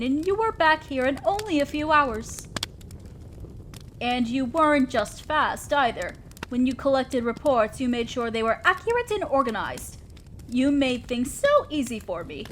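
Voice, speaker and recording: A woman plays an imperious demon lord of hell with a distinctly high feminine voice. She is commanding and condescending, an exacting boss in an audio roleplay. Clear background noise and ambience sit behind her. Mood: measured, frank. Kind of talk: scripted roleplay audio drama monologue.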